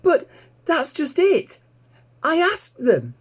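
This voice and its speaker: Man putting on an eager, high-pitched little piglet. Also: huge pitch swings, slow pace, full character voice. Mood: earnest. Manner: courteous, earnest, pleading. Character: eager, polite young male piglet, childlike.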